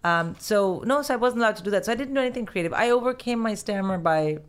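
A woman's voice: medium pitch, animated, quick pace. A woman from India, a podcast guest, telling candid stories in casual chat with frequent restarts.

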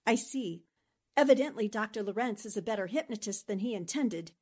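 A woman's voice: mid-range voice, expressive, brisk, darkish tone, natural voice. A woman playing a shrewd, composed newspaperwoman in a 1940s radio drama, with a wry, knowing manner.

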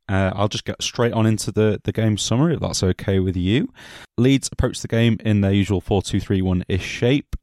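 Host: low man, chatty and jokey, slight cough, dry sound. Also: warm tone, dry recording. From England. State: has a cough. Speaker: podcast host. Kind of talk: casual football podcast chat.

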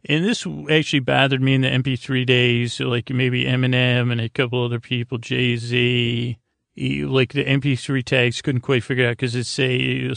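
An American man with a creaky, gravelly voice hosting a bedtime podcast in a meandering, halting monologue that trails off and circles back. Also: mid-range voice, fairly bright tone, no room sound, clean studio sound. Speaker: host of a bedtime sleep podcast.

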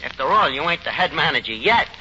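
A man's voice: on the high side, sing-song, quick pace. A man playing an anxious, blustering tavern manager with a New York accent and slangy bad grammar in a 1940s radio comedy. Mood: mock-tragic. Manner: malapropisms, slangy ungrammatical bluster. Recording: muffled, noisy 1940s radio recording.

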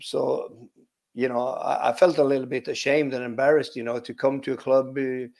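A man with a Swedish accent, a former footballer, answering interview questions in a relaxed, halting way, full of restarts and "you know". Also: medium pitch, gravelly. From Sweden. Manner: hesitant, many restarts and "you know" fillers.

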